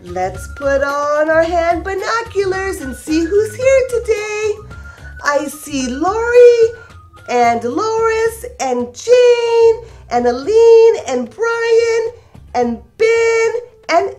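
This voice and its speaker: A woman reading a picture book aloud as a storytime host, expressive and sing-song as she talks directly to young children. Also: very high-pitched, drawn-out words, faint music behind.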